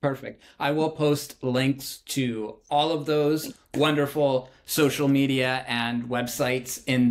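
A man in his thirties hosting an interview from home over a video call, asking questions in an upbeat way. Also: medium pitch. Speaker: podcast host. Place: home room with bookshelf.

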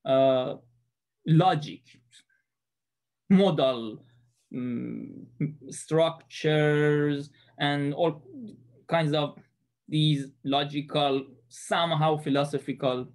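A man's voice: fairly high pitch, steady tone, extremely slow, pauses often, a little mellow. A man in his thirties with a Persian accent, giving a recorded class presentation from a small room into a webcam, with many fillers and restarts. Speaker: student presenter.

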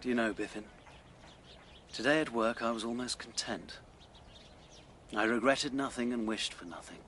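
An actor playing a proud, melancholy, impoverished Victorian writer in a radio drama, with an earnest, literary English delivery. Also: male voice, mid-range voice, moderate pace, fairly bright tone, slight background noise, lightly acted. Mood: wistful, brooding. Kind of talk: BBC radio drama acting.

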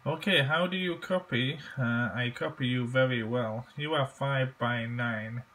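Male ham operator, unhurried, halting delivery, careful phonetic spelling and repetition. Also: mid-range voice, a bit bright. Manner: halting, deliberate, repeats key phrases. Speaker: amateur radio operator.

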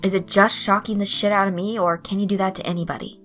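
A woman voicing a gruff, foul-mouthed boy with a flat, bored delivery in a story reading. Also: medium pitch, fast, close to an everyday voice. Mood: bored, dry. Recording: soft music behind the voice.

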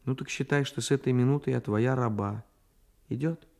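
A man's voice: mid-range voice, normal speed, creaky, a little mellow, lightly acted. A man voicing a curious, coquettish young girl with a timid, coaxing way of speaking in a radio story reading. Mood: reluctant.

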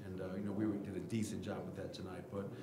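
A middle-aged man, a basketball head coach, answering reporters' questions at a post-game press conference podium with a table mic, candid and animated. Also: deep voice, fast, echoey room, noisy background. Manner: candid, animated, gestures while explaining. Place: press room at team podium. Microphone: table mic in front of him.

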